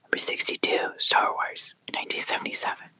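A whispering ASMR creator softly reading a countdown list, hushed and unhurried, pausing to apologize for small slips. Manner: soft whispering, quietly acting out each quote before the title, apologizing for slips.

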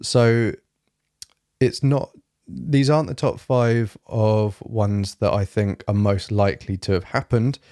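Guy rambling on his podcast at home, low mellow voice, bit of a rough throat, dry close sound. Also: slow pace, no room sound. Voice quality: fairly monotone. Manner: rambling, many fillers and restarts. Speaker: podcast host. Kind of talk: casual solo podcast chat. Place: home, evening, quiet room.

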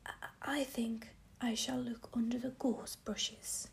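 A woman's voice: a little high, level tone, takes it slowly, gravelly, voice pushed up. A woman voicing a shy, lonely little male gnome in a hesitant, sad whisper.

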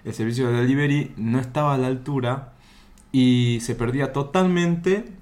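A man's voice: mid-range voice, normal speed, a little mellow. A man from Salta with an Argentine Spanish accent, co-hosting a podcast in casual chat, hesitant and full of fillers. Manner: chatty, hesitant with many fillers and restarts. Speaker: podcast co-host.

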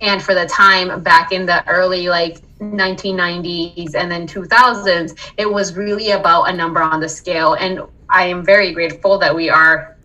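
A woman's voice: on the low side, steady tone, normal speed, few pauses, sharp tone, far from the mic, rough recording. A woman from Colombia, a wellness coach, telling her story earnestly in a casual interview, often checking in with "right?". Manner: earnest, reflective, frequent "right?" and laughs. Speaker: podcast guest, wellness coach.

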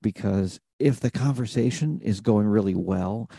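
A man's voice: on the low side, moderate pace, creaky, warm tone, slightly rough, no echo, clean studio sound. A man hosting an interview podcast, talking in an earnest, reflective way about purpose and connection. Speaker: podcast host. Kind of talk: conversational interview.

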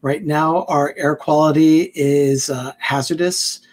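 A man joins over a video call, his voice a touch higher than most and slightly raspy from smoke. He explains things in a plain, measured way. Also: steady tone. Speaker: guest. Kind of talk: casual video call chat.

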